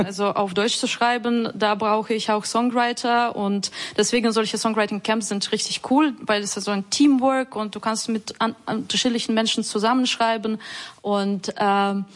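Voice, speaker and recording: A woman's voice: a little high, fairly even intonation, moderate pace. A woman from Ukraine, a musician guest speaking German as an in an enthusiastic radio interview, with frequent fillers. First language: not German.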